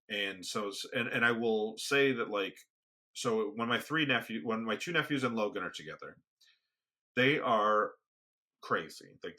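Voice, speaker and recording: A soft-spoken man co-hosting a casual podcast, rambling with frequent restarts. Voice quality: booming when raised. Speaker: podcast co-host. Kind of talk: casual conversation. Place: home studio, remote recording.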